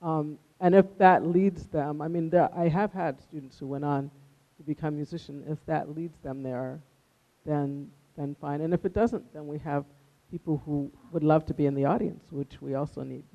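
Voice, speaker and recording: A man's voice: on the high side, steady tone, normal speed. A man giving reflective, conversational interview answers as a musician and music teacher, on a dull, lo-fi recording.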